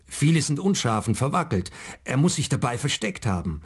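Husky, embarrassed man. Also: mid-range voice, very animated, moderate pace. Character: embarrassed adult son of a dead old man. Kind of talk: radio play dialogue.

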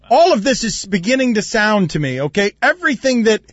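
A man hosts a studio sports talk show with a wry, chatty delivery, his voice sitting fairly high. The sound is dry and close but dulled, like a broadcast feed. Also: very animated, normal speed, a bit bright, no room sound. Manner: chatty, wry, reads listener texts. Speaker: sports talk radio host. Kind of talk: casual studio banter. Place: radio studio. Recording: dull lo-fi radio broadcast.

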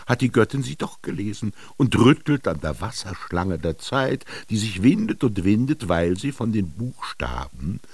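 An actor playing an old Egyptian master scribe in a radio drama, solemn and pompous, declaiming with drawn-out words. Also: male voice, lowish voice, very animated, darkish tone, husky, dry recording, exaggerated voice. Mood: solemn, incantatory. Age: older. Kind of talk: German radio drama monologue. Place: studio.

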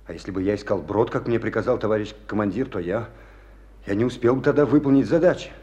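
An actor playing a disciplined, self-assured young tank cadet in a radio drama, answering a superior with military formality. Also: male voice, fairly low pitch, fast, a little mellow, natural voice. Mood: earnest, defiant. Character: young male tank cadet, disciplined, stubbornly sure of himself. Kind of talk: Russian radio drama acting.

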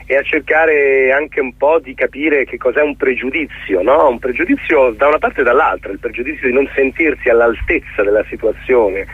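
A middle-aged man from the Middle East, a theatre director being interviewed, explaining his work haltingly and searching for words. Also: medium pitch, slightly rough, no echo. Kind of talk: radio interview, explaining a production. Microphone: telephone call. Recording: thin, phone-like line.

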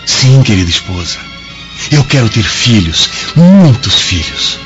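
An actor playing a doting, concerned young Roman husband in a radio drama, tender and solicitous in manner. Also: male voice, on the low side, sing-song, measured pace. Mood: eager, loving. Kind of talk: dramatic radio play acting. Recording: dull lo-fi audio under clear music.

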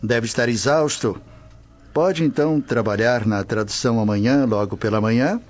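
A Brazilian-accented actor playing a genial, courteous old Roman official in a radio drama. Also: male voice, mid-range voice, normal speed, muffled recording, lightly acted. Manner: genial, courteous, hesitant interjections.

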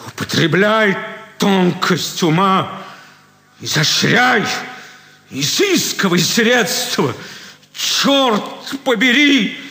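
An actor playing an outraged, self-pitying swindled card sharper in a classic stage comedy, exclaiming and cursing theatrically. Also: male voice, high voice, pushed and bright, full character voice. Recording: echoey theatre hall with long reverb.

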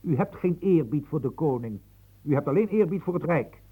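A man's voice: a little high, lively intonation, moderate pace, breathy edge, phone-quality sound. An actor playing a loyal adviser in a radio play who pleads earnestly and warns his master. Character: loyal adult male adviser, urgent and warning.

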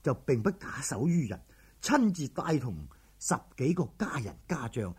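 Casting: male Cantonese storyteller, very raspy mid-pitched voice, lively emphatic swings, colloquial narration. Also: normal speed. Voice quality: very husky, raspy.

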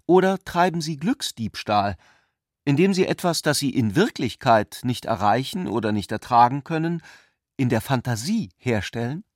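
A male actor playing an ironic, courteous intellectual cousin in a German literary radio drama, speaking eloquently and deliberately. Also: mid-range voice, expressive, no echo, clean studio sound, natural voice. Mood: eloquent, questioning. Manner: eloquent, deliberate, earnestly polite.

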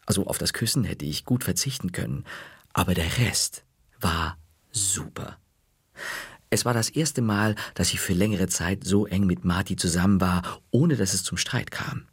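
A man narrating a children's story in the first person, calm, dropping to a whisper in quiet moments. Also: low-pitched, normal speed, breathy edge, dry recording, studio quality.

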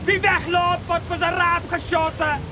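An actor playing a violent highway robber in a radio drama, barking threats and orders. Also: male voice, level tone, normal speed. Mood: menacing. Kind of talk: shouted radio drama acting. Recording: very noisy outdoor scene ambience.

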